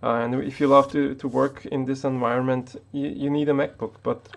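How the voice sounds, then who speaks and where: Hesitant Austrian man with a gravelly voice. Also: mid-range voice, flat delivery, fairly quick. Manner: hesitant, many restarts and fillers. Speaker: tech YouTuber. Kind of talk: unscripted talk to camera.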